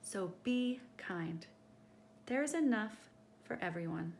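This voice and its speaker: A woman reading a picture book aloud to children in an expressive, teacherly way, speaking directly to her young listeners. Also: mid-range voice, slow pace, vocal fry, a little hiss or hum.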